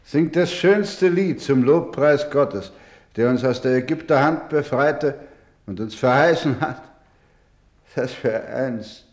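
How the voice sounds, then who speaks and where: A man acting as a weary orphanage director in a German radio play. He speaks very slowly and solemnly, weighing each word with emphasis. His voice is mid-pitched, resolute yet fatherly. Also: close to an everyday voice. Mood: tender, solemn.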